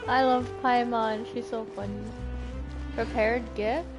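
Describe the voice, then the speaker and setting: Woman streamer, slightly high voice, slow pace, casual short reactions to gameplay. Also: flat delivery. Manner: reacts aloud in short bursts. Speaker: game streamer. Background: game music.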